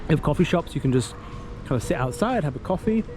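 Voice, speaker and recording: A man's voice: fairly high pitch, lively intonation. A young man with an English accent, chatting casually and upbeat as a travel vlogger outdoors on a city street. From England. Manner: upbeat, chatty, quick to laugh. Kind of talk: casual travel vlog chat. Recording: city street noise behind. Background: traffic and street ambience.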